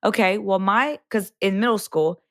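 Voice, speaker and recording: Hoarse woman, chatty and casual. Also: medium pitch, normal speed, fairly bright tone, no room sound, studio quality. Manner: chatty, loose storytelling with many fillers. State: hoarse after the flu. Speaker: podcast co-host and middle school teacher. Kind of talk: casual chat.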